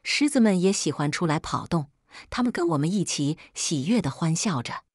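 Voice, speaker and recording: A woman reads a first-person audiobook story in a very low register. Her voice has a slightly husky edge, and the recording is dry, without room sound. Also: very animated, normal speed, a little mellow, no echo. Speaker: audiobook narrator.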